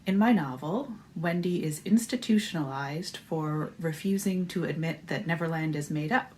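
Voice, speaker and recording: A woman with a slightly low voice presents trivia as an author. She gives a prepared talk to viewers, with faint noise behind her. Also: normal speed, darkish tone.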